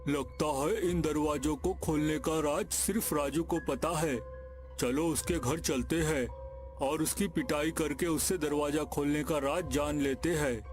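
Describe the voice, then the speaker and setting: A man playing a greedy, excitable village schemer in a cartoon story, speaking in exclamations. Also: on the high side, unhurried, natural voice. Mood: greedy, scheming. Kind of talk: Hindi cartoon story acting. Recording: music behind the voice.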